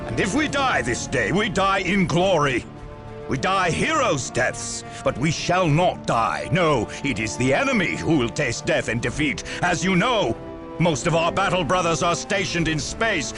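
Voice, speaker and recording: A proud space marine commander in a game, declaiming in a raised, highly animated voice. He is resolute and commanding over prominent music. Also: measured pace. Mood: confident. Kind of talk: heroic game cutscene oratory.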